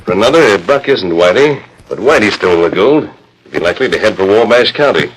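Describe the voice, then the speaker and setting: A man playing a resolute, fair-minded western hero in a 1940s radio drama, with measured, authoritative, formal diction. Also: on the low side, lively intonation, close to an everyday voice. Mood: resolute, serious. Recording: noisy 1941 radio transcription disc.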